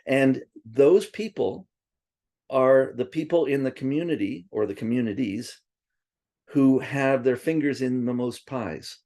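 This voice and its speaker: A man with a gravelly, dark voice tells stories in a loose, rambling way. He restarts often and wanders into asides. Swedish was his first language. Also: mid-range voice, measured pace. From born in Princeton, raised partly in Tokyo. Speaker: interviewee. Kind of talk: casual reminiscing interview.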